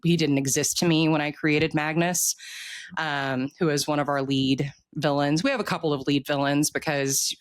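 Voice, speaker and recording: An author with an American accent talking casually in an interview, explaining opinions in an animated way and often checking in with the listener. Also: medium pitch, no echo.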